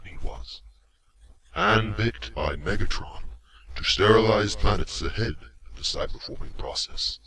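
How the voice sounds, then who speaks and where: A man playing an eloquent, cruelly courteous robot villain commander in an audio drama, speaking theatrically with deliberate pauses and hushed drops. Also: sits low, full character voice. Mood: coldly mocking. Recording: amateur home recording, faint noise.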